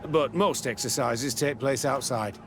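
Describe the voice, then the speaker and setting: A military camp captain for a video game, guarded and used to command. His mid-pitched voice is measured and curt, with faint outdoor ambience behind it. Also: a bit bright, a little hiss or hum. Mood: guarded, official. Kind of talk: video game dialogue acting.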